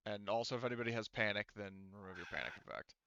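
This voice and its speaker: A man with a bright, forceful and smooth voice runs a tabletop game. He explains things casually and wanders a little as he goes. The audio is close and dry, slightly muffled like voice chat. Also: lowish voice, very fast, no echo. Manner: rambling, explanatory, casual swearing. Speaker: game master.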